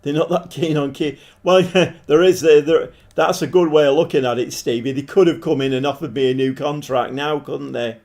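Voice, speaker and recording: A man with a croaky, hoarse voice, chatting casually as he streams a game and thinks aloud. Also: a little high, steady tone, brisk, few pauses, darkish tone. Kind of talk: casual live-stream commentary. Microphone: desk microphone.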